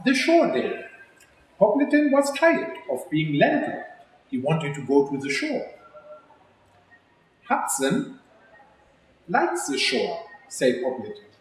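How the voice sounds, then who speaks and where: Slow, lively man reading in echoey room. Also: on the high side. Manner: expressive, addresses children directly. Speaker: executive chef reading a children's story for a charity. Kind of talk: read-aloud storytelling for children. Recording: very echoey room, faint background noise.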